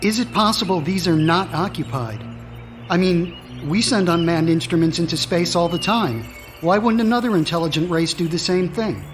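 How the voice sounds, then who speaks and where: An actor plays a skeptical male government secretary in a radio drama. He is formal, businesslike and matter-of-fact. Music plays clearly under his lines. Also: sits high, moderate pace, close to an everyday voice.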